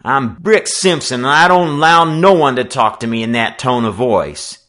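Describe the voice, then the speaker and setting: A man's voice: medium pitch, animated, moderate pace, fairly bright tone, pitched above the performer's own voice, natural voice. A man voicing a domineering teenage gang leader in a storybook, slangy and snarling. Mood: bullying, aggressive. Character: bullying teenage boy, red-headed street gang leader.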